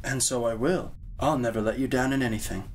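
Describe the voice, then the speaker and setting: A man's voice: medium pitch, fairly even intonation, fairly quick, a little hiss or hum, lightly acted. An actor playing an eager, naive young male knight in an audio drama, polite and earnest in his questions. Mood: trusting. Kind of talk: audio drama acting of a medieval legend.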